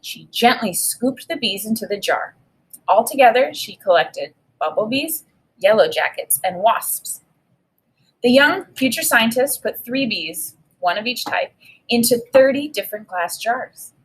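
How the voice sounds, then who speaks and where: A woman teacher reading a children's book aloud and pausing to think aloud about it. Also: fairly high pitch, breathy edge, poor audio. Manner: reads with expressive emphasis, pauses to think aloud. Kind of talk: read-aloud lesson with think-aloud.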